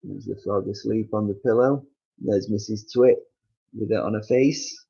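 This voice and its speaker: A man reading a children's story aloud as an expressive narrator, on a slightly muffled recording. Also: on the low side, unhurried, vocal fry.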